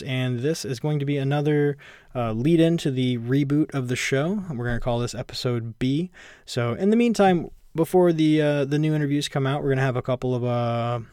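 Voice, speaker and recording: Podcast host guy, smooth clear voice, dry close sound, lots of uhs. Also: mid-range voice, a little mellow, no room sound. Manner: casual, many fillers and restarts. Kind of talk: solo intro to a recorded interview.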